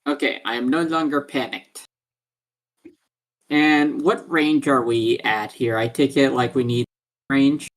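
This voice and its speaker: A man talks casually as a player in a tabletop roleplaying game. His voice has a medium pitch, and he speaks in an everyday conversational way. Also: steady tone, a little slow, long pauses.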